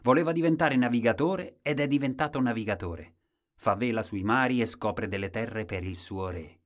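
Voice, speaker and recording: A man's voice: medium pitch, normal speed, close to an everyday voice. A man voicing a lofty, instructive king in a storybook tale, speaking with emphatic authority. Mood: commanding.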